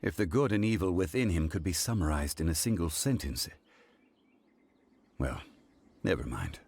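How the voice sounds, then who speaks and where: A man voicing an ancient, dignified male god who speaks in a measured, formal, grave way. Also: sits low, expressive, darkish tone, husky, heavily acted. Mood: solemn.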